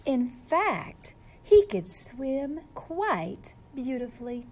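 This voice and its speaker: A woman's voice: high voice, very animated, extremely slow, darkish tone. A woman reading a storybook aloud to young children, expressively and speaking to them directly.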